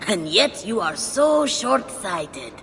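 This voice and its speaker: A woman's voice: mid-range voice, sing-song, takes it slowly, over music. An actress playing a sly, teasing, scheming female merchant imp in a video game, with a playful, insinuating, theatrical delivery. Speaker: merchant character. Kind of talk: game cutscene acting. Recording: long hall reverb, clear background noise.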